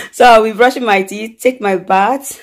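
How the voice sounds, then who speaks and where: A woman's voice: a little high, steady tone, a little slow. A woman in her thirties chatting casually to a close-held phone camera in a dim room at home, rambling and repeating herself as she explains her day. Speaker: vlogger.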